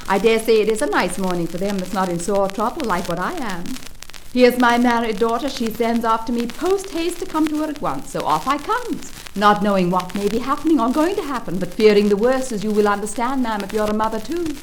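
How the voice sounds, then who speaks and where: A woman's voice: fairly high pitch, lively intonation, a little fast, a little hiss or hum, voice pushed up, natural voice, rough recording. A woman voicing a conceited male toad who poses as a plaintive old washerwoman in a storybook reading. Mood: plaintive, fretful. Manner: plaintive, wheedling, boastful. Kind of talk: audiobook character acting.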